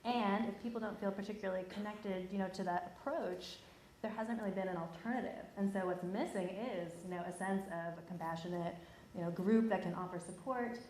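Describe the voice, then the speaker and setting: A woman mental health professional calmly explaining on a panel. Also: medium pitch, brisk. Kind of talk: panel discussion. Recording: echoey room with faint background noise.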